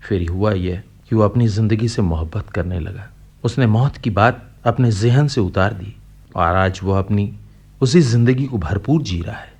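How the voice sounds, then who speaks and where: Gentle, husky-voiced older uncle. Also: male voice, fairly low pitch. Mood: earnest, reassuring. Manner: gentle, coaxing, philosophical, measured. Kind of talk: Hindi radio play acting.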